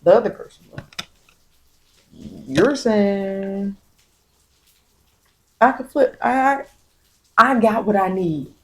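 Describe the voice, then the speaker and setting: An American woman giving soft-spoken ASMR spiritual guidance as a tarot reader, meandering and repeating phrases to reassure. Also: mid-range voice, lively intonation, slow, long pauses.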